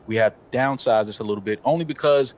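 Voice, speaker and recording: Mid-pitched man giving measured opinions. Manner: opinionated, measured, many fillers. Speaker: podcast co-host. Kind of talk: casual chat.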